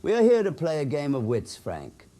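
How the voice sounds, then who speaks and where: Man acting a mellow, courteous old spirit gentleman. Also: fairly high pitch, wildly swooping pitch. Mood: cryptic, grave. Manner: formal, theatrical, unhurried. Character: older gentleman spirit, cryptic, courteous afterlife gamekeeper. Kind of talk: horror audio drama acting.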